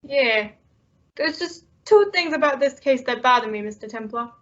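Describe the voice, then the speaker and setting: A woman's voice: high-pitched, lively intonation, normal speed, fairly bright tone. A woman playing a simple, loyal male sidekick with a hesitant, slangy, deferential manner in an old-time radio drama. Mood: earnest. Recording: dull, muffled lo-fi audio.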